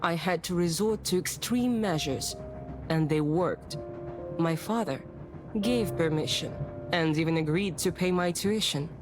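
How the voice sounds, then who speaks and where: A woman's voice: fairly low pitch, unhurried, lightly acted. A woman voicing a well-bred, self-assured young lady in a visual novel, speaking in a composed, articulate, formal way. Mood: composed, matter-of-fact. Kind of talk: visual novel voice acting, narrative dialogue. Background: music.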